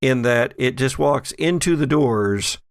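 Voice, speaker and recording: A man narrating a horror-and-humor tabletop game as game master, in measured, suspenseful storytelling with pauses. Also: mid-range voice, dry recording, studio quality. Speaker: game master of an actual-play podcast.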